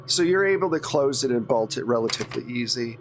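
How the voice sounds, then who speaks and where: A man's voice: mid-range voice, expressive, quick pace, a little hiss or hum. A man acting as game master, narrating a tabletop horror game in a conversational way.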